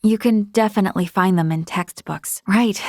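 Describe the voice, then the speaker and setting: A woman's voice: medium pitch, normal speed, a little mellow, no room sound, studio quality, close to an everyday voice. An actress voicing an earnest, modest young woman, a space officer from a prestigious family, in a video game, speaking politely and sincerely. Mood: wistful. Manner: polite, self-effacing, sincere.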